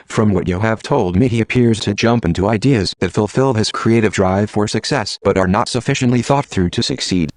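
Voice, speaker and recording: A man's voice playing a calm, well-read AI assistant, measured, formal and impartial in scripted dialogue. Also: on the low side, no room sound, natural voice. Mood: calm, analytical.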